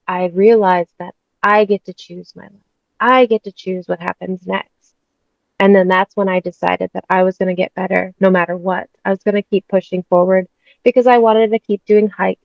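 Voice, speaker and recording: A woman's voice: medium pitch, gravelly, dry recording. A woman in her thirties from the States, a guest telling her personal story candidly and chattily, laughing often. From United States. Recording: dull, dry sound.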